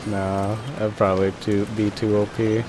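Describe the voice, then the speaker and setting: A man's voice: lowish voice, little pitch movement, normal speed, over loud music. A man streaming a game, giving casual off-the-cuff commentary. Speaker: gaming streamer. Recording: noisy mic over game audio.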